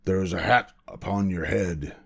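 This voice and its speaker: Man acting pompous, hot-tempered storybook king, deep voice. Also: fairly even intonation, moderate pace, creaky, fairly bright tone, slightly rough, heavily acted. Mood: outraged. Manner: demanding, pompous, commanding. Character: imperious, hot-tempered king, blustering with outrage. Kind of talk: storybook character voice.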